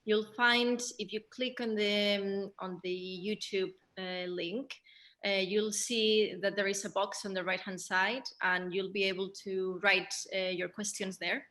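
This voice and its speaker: A woman with as her moderates a theatre discussion at a middling pitch. She speaks hesitantly, circling back on phrases and pausing as she thinks. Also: flat delivery, a bit bright. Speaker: theatre director hosting a Q&A.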